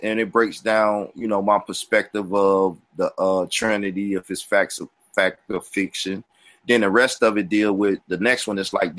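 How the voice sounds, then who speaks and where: A man with a fairly low voice explains his reading of scripture at length. He rambles a little and pauses on fillers as he quotes verses. The sound is dry and close. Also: a bit bright, dry recording. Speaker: guest Bible teacher. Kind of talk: casual explanatory talk.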